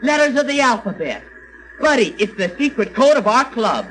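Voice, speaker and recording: A man's voice: extremely high pitch, very animated, normal speed, fairly bright tone, slight background noise, exaggerated voice, rough recording. A male actor playing an earnest, eager boy adventurer in an old-time radio serial, urgent and emphatic. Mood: excited. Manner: urgent, emphatic, giving orders.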